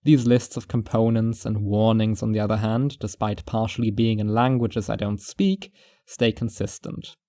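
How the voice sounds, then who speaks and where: Man acting a brooding shut-in watcher, audio fiction narrator, gravelly fry, mellow tone, wry and deliberate. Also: fairly low pitch, steady tone, no room sound, clean studio sound, lightly acted. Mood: reflective. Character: reclusive, paranoid male surveillance watcher, wry and brooding. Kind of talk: first-person fiction narration.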